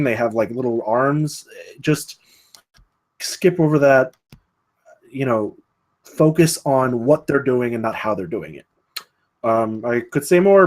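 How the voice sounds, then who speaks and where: A man's voice: medium pitch, a little mellow. A man giving casual spoken feedback as a table read participant, rambling a little and often stammering over repeated words.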